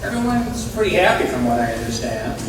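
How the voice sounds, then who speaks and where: A man's voice: mid-range voice, big pitch swings, brisk, far from the mic, noisy background. An actor in a live stage play, playing a blunt, coarse-spoken, self-deprecating middle-aged father, recorded in an echoey room from afar. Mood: matter-of-fact, wry.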